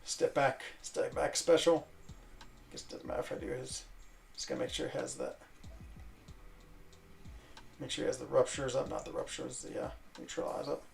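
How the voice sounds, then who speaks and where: A man who grew up in Switzerland, chatting casually and rambling while he plays a video game, breaking off into quick exclamations. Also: medium pitch, level tone, gravelly. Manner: rambling, self-interrupting chatter with fillers and sudden exclamations. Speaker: gaming streamer.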